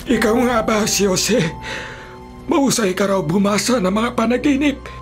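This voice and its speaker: A man's voice: high-pitched, moderate pace, natural voice. Man acting a theatrical, troubled Pharaoh over music. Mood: grave, urgent. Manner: theatrical, imperious. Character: troubled, commanding male Pharaoh of ancient Egypt. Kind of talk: dramatised Bible radio drama. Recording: radio drama over clear background music.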